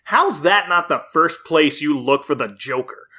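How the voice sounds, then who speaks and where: A man's voice: fairly high pitch, expressive, unhurried, sharp tone. A man hosting a podcast, recapping something casually in an enthusiastic, amused way. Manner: enthusiastic, amused, laughs often while talking. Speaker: podcast host. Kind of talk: casual episode recap chat.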